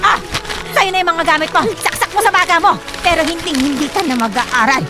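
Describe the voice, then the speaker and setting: A woman's voice: extremely high pitch, a little fast. A woman acting a drunken, bitter middle-aged mother in a Filipino radio drama, scornful and hectoring, with a Filipino accent. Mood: furious, spiteful. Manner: slurring taunts, scornful, hectoring. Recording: music bed under the voice.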